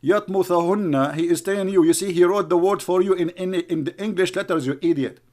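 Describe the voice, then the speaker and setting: A man's voice: high voice, fairly quick, darkish tone. Scornful man. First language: Arabic. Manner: mocking, scornful, calls names. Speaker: online commentator. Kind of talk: heated argumentative commentary.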